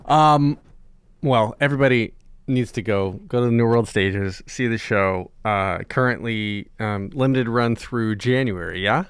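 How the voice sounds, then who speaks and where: A man's voice: mid-range voice, unhurried, clear, resonant tone, no echo. A man speaking casually as an interviewer and podcast host.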